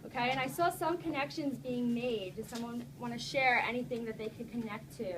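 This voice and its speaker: A woman's voice: a little high, fairly quick. A woman teaching young children, reading a story aloud and encouraging them with frequent check-in questions. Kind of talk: interactive classroom read-aloud and discussion. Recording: noisy classroom recording.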